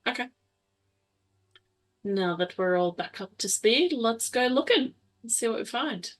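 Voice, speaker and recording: A woman's voice: a little high, expressive, a little slow. A woman playing a tabletop roleplaying game, chatting casually and thinking aloud as she goes.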